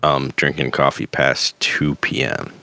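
A man co-hosting a podcast in casual chat, excitable and quick to laugh. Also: a bass voice, clear, resonant tone, no room sound. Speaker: podcast co-host.

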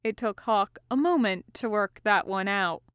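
A woman's voice: medium pitch, unhurried, a bit bright, clean tone, dry recording, clean studio sound. A woman reading fiction aloud as a scripted narration. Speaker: amateur audiobook reader.

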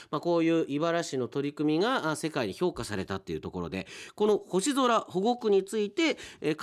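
A man hosting a casual radio show, chatting through listener mail with appreciative comments and asides. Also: fairly high pitch. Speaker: radio host and announcer.

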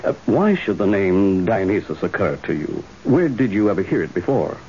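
An actor playing a calm, authoritative doctor in an old-time radio drama, measured and explanatory. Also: male voice, low voice. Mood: calm, grave. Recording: hissy, muffled old radio broadcast.

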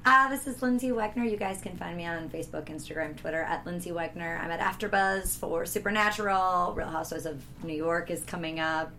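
A woman's voice: on the low side, fairly quick, few pauses, pushed and bright, slight background noise. A woman speaking casually as a panelist on a talk show. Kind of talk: casual self-introduction.

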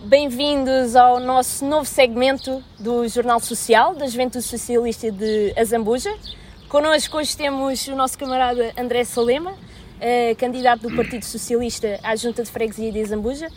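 A woman's voice: a little high, fairly even intonation, moderate pace, a little mellow, a little hiss or hum. A woman with a European Portuguese accent, opening a political videocast and introducing her guest formally, with some fillers. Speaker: interview host. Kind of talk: hosting a youth political videocast.

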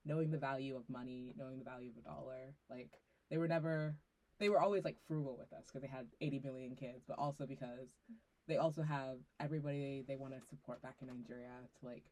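A woman's voice: a bass voice, fast talker, rough recording. A young woman and college student chatting casually on a podcast, telling stories with a lot of "like". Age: young adult. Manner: chatty, animated storytelling with lots of "like". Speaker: student guest, business major. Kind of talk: casual chat. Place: college podcast recording, indoors.

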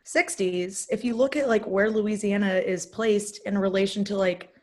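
A woman chatting on a podcast, adding quick joking asides. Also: mid-range voice. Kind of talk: casual podcast chat.

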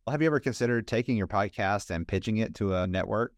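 A deep-voiced American man hosts an interview. His talk is casual and conversational, wandering from one thought into the next as he frames questions and shares ideas. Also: quick pace, dry recording, clean studio sound. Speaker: interview host, festival organizer.

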